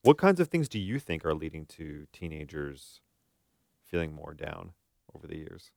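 Male co-host, extremely deep voice, dry close sound, reflective and conversational delivery. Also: lively intonation, normal speed, gravelly, a little mellow, no room sound. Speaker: podcast co-host, therapist. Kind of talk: casual conversational discussion.

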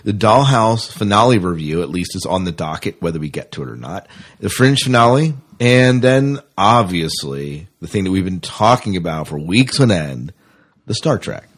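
A man with a deep, somewhat raspy voice co-hosts a fan podcast. He talks in a loose, chatty way, drifting into asides as he addresses the listeners. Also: animated, moderate pace. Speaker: podcast co-host. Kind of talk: casual sci-fi fan podcast chat.

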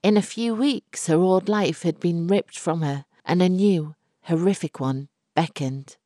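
Kobieta o niskim głosie, powolna narracja opowiadania. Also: female voice, lowish voice, no echo, clean studio sound. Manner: deliberate, expressive, dramatic phrasing. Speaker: audiobook narrator. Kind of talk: dramatic scripted short-story narration.

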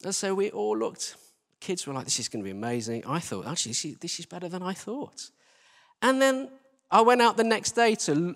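A middle-aged man preaching through personal anecdote, in a conversational, self-deprecating way, recorded live in a church hall. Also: high voice. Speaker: church team leader preaching. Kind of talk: anecdotal sermon. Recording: reverberant hall.

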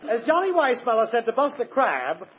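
On a muffled, faintly hissy old radio recording, a wisecracking salesman. His pitch is high and leaps about as he delivers sarcastic comedy lines to a studio audience. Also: big pitch swings, fairly quick. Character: wisecracking, sarcastic male sporting goods salesman. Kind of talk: 1940s radio comedy acting.

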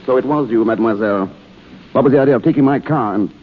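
A man playing an earnest, easily flustered gentleman on vacation in a 1930s radio drama. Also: mid-range voice, fast, slightly rough, close to an everyday voice. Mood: indignant. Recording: muffled vintage 1930s radio broadcast.

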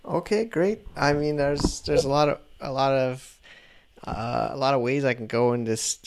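A man's voice: medium pitch, moderate pace, vocal fry, darkish tone, clear, resonant tone. A man hosting a casual interview, asking rambling questions full of restarts. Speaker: podcast host.

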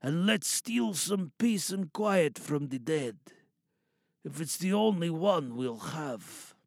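Audio drama: war-weary young soldier, acted by a man, bitter, musing quietly, swinging pitch. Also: animated, slow, gravelly, dry recording, studio quality, full character voice. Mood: tender, resigned. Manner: hushed, confiding, musing.

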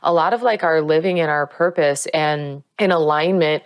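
Low-voiced woman teaching gently. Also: clear, resonant tone, no echo. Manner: earnest and coaching, slows down to stress key words. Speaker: spiritual teacher and author. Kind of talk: guided spiritual teaching.

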